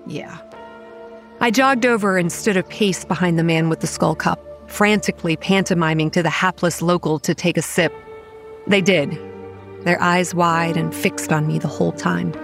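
A woman's voice: on the low side, fairly even intonation, moderate pace, creaky, faint music behind. Wry young woman narrating horror. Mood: wary. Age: young adult. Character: Kate, wry, anxious young woman running a campground. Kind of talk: scripted first-person horror narration.

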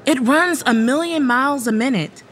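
A young woman acting a sleepless, stressed college student, with an expressive, anxious delivery in an audio drama. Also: sits high, moderate pace, music in the background, lightly acted. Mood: weary, apologetic. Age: young adult. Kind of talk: dramatic dialogue in old time radio style audio play.

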